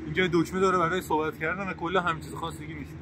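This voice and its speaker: A man's voice: fairly high pitch, level tone, background noise. A young man vlogging an unboxing with a friend, joking and playful in casual chat. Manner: playful, jokey, talks over his friend. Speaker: vlogger unboxing a walkie-talkie.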